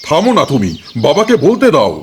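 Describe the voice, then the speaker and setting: Man playing a testy, high-voiced old village father. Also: wildly swooping pitch, brisk, slightly rough, heavily acted. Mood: irritated scolding. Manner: scolding, exasperated, fussy. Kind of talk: Bengali folk-tale cartoon acting.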